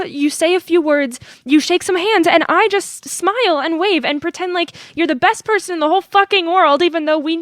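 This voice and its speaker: An actress playing a bitter young adult daughter of a politician in an audio play, sarcastic and confrontational. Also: female voice, very high voice, no room sound, clean studio sound.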